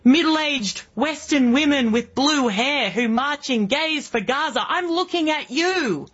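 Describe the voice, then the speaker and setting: A woman in her early forties with an Australian accent, hosting political talk radio in an emphatic monologue full of rhetorical questions, with a husky voice. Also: sits high, lively intonation, a little slow, a bit bright, lo-fi sound. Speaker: guest radio host.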